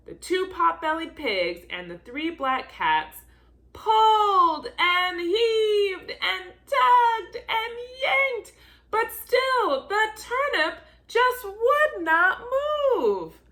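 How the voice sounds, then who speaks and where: A woman's voice: extremely high pitch, pushed and bright. A woman reading a children's story aloud in a theatrical storytelling style, stretching out key words for emphasis. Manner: theatrical, drawn-out emphasis on repeated action words. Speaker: volunteer storybook reader.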